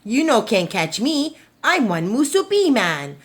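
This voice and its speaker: A woman's voice: a little high, big pitch swings, measured pace, husky, voice pushed up, full character voice. Woman playing a cheeky, taunting runaway rice man. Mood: gleeful taunting. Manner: taunting, chanting in Hawaiian Pidgin phrasing. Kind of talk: children's storybook read-aloud.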